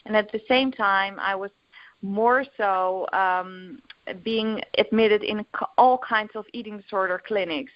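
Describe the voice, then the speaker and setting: A woman's voice: mid-range voice, dry recording. Dutch-accented young woman chatting as a guest, dry close sound, kinda dull lo-fi audio. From Netherlands. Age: young adult. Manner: open, rambling, many fillers and restarts. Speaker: guest, recovery coach. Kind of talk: podcast interview.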